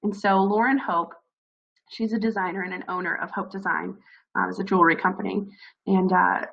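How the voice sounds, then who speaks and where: A woman's voice: medium pitch, little pitch movement, brisk, creaky, highs cut off. A woman with a scratchy, froggy voice from a cold, earnestly giving encouraging advice with frequent fillers. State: hoarse from a cold. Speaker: speaker advising new military spouses. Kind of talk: informal advice talk.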